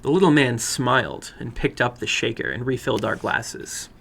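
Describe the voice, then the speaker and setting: A man narrating a short horror story dramatically, with a theatrical, deliberate delivery. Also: medium pitch, vocal fry, fairly bright tone, slightly rough. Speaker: storyteller, horror fiction broadcast host.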